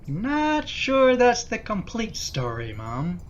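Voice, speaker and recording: A man's voice: sits high, wildly swooping pitch, slow, lo-fi sound, a little hiss or hum, heavily acted. A man playing a harried, placating middle-aged husband and son in a radio play, a little hesitant. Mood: weary, placating.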